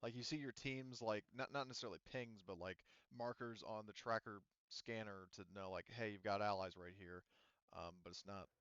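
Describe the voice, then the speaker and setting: A man's voice: lowish voice, normal speed, fairly bright tone, clean tone, dry recording, dull audio. A man running a tabletop roleplaying game as game master, explaining things casually in a rambling, improvised way.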